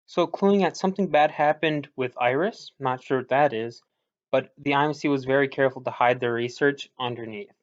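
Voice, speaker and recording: Male game commentator, mid-pitched, informal and a little hesitant, explaining as he thinks aloud. Muffled lo-fi sound. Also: moderate pace. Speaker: gaming video commentator. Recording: dull lo-fi recording.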